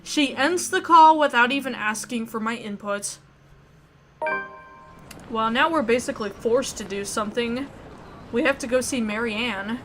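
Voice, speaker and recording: High-voiced woman narrating a game. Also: a bit bright, a little hiss or hum, low-quality recording. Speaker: video game streamer. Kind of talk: let's play commentary, reading visual novel narration aloud.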